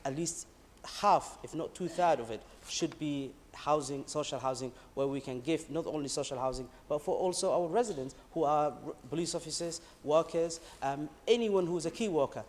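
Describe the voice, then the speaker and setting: Halting man speaking in a council chamber. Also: on the high side, darkish tone. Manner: halting, many fillers and restarts, earnest. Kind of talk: council debate speech. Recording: long hall reverb, faint background noise.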